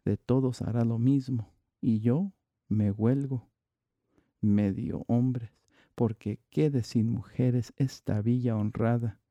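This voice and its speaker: A man's voice: medium pitch, fairly even intonation, slow, mellow, no echo, muffled recording. A man reciting a dramatic monologue from a classical verse play. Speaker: monologue reader.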